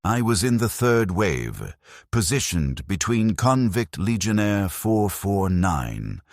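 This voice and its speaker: A man giving a solemn, measured, scripted first-person narration of a dark dramatic story. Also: deep voice, no room sound, clean studio sound.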